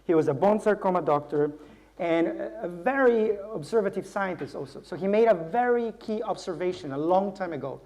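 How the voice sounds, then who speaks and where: Higher-pitched man giving a science lecture. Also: lively intonation, normal speed, a little mellow. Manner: explanatory, repeating words as he builds sentences. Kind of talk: university public lecture. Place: university lecture theatre.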